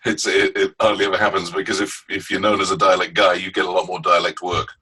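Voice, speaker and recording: A man's voice: low-pitched, level tone, quick pace, pushed and bright, husky, rough recording. A man, a voice actor, speaking thoughtfully in a casual webinar chat. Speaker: voice actor guest.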